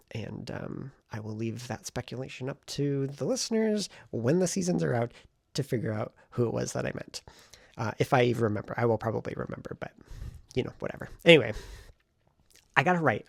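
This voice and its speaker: A man talking in a casual vlog style, thinking aloud in an informal, meandering way, with a husky voice. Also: medium pitch, no room sound, studio quality. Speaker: vlogger and fiction writer. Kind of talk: casual rambling vlog talk.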